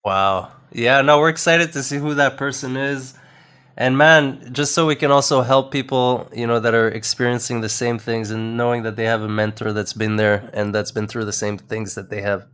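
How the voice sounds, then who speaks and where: A man's voice: mid-range voice, fairly even intonation, moderate pace, a bit bright, clean tone. A man hosting a casual podcast, upbeat and hyping up his guest as he asks questions. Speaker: podcast host.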